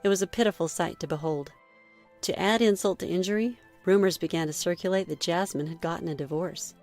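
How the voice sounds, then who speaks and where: A woman reading a scripted first-person story in a measured read-aloud manner. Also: on the low side, darkish tone, faint music behind. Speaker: story narrator.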